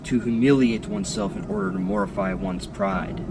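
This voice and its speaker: A man giving a solemn, measured literary reading in a declamatory, rhetorical manner, as an audiobook narrator. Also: on the low side, very noisy. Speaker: audiobook reader. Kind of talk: solemn literary reading of archaic philosophical text. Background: music and sound design behind the voice.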